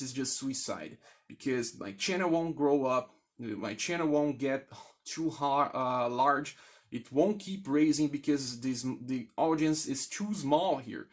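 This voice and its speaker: A man with a Brazilian accent speaks at a middle pitch in an unscripted appeal to his viewers. He is a native speaker of Brazilian Portuguese, and his delivery is earnest and halting, often circling back over his phrases. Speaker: gaming video creator.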